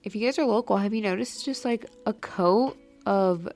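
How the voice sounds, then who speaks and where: A woman's voice: medium pitch, normal speed, darkish tone, soft background music. Bubbly, rambling young woman. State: stuffy nose. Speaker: podcast host. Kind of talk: casual solo chat. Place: at home late at night.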